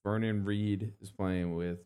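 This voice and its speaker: A man's voice: on the low side, fairly even intonation, a little slow, warm tone, clear, resonant tone. A man hosting a local radio show, chatting casually and plugging upcoming events in an easygoing way. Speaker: radio host.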